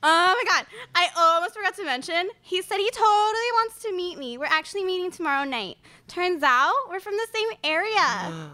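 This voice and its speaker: A woman's voice: very high voice, sing-song, moderate pace, few pauses, bright, forceful tone, no echo, heavily acted. A woman playing a whiny, nosy, boy-crazy teenage sister in a live radio comedy, full of filler words and exclamations. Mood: giddy, gushing. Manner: whiny, valley-girl filler talk, exclaiming. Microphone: stand microphone.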